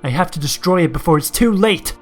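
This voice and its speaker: A somewhat high-voiced young-hero type acted by a man, earnest and desperate. He talks to himself with anxious drama over soft music, as in an animated fan dub. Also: fairly quick. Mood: anxious, resolute. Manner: anxious, dramatic self-talk. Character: desperate, earnest hunted hero wrestling with his duty.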